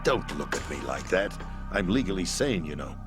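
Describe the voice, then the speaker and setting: Deep-voiced, bitter fallen president. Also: male voice, big pitch swings, moderate pace. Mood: cynical lecturing. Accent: American. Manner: theatrical, world-weary, cynical. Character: bitter, ambitious male president turned power-hungry conspirator. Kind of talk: dramatic video game voice acting. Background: music.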